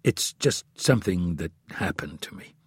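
A man's voice: low-pitched, expressive, normal speed, slightly rough, no echo, in a whisper, pitched below the performer's own voice, exaggerated voice. A man voicing an evasive, embarrassed husband, a tough operative who answers hesitantly and tries to placate, as in an audiobook. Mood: pained, hesitant.

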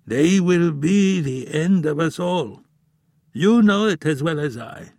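A man voicing a frail elderly male professor in an audiobook, with a raspy, slightly unsteady voice and a slow, grave, weary way of speaking. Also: on the high side, lively intonation, a little mellow, dry recording, studio quality, voice pushed up. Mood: bitter. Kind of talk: audiobook character voice.

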